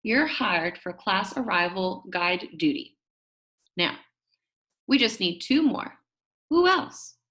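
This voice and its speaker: A woman reading a children's storybook, voicing a brisk, jokey male substitute teacher who addresses his class. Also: medium pitch, big pitch swings, a bit bright. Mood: coaxing, jokey. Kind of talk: children's storybook read-aloud.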